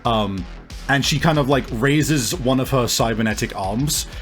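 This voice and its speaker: A man working as a game master, improvising tabletop game narration in a halting, piece-by-piece way. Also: medium pitch. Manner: halting, pieces scenes together as they go. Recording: music playing behind the voice. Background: music.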